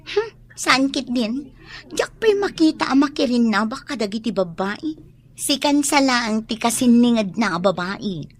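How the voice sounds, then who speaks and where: An actress playing a nosy, teasing young student in an Ilocano radio drama, with a playful, exaggerated delivery. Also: female voice, on the high side, animated, measured pace. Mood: playful, sassy.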